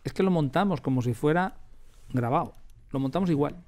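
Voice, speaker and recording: A man's voice: fairly high pitch, expressive, fairly quick, darkish tone. A man with an accent from Spain, explaining production work casually in an interview, repeating phrases for emphasis. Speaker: audio drama producer.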